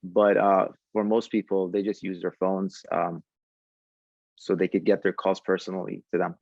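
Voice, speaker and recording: A man giving conversational answers as a podcast guest, speaking in a halting way with frequent pauses. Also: low-pitched, flat delivery, creaky, a little mellow, dry recording, dull audio. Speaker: podcast guest, tech entrepreneur.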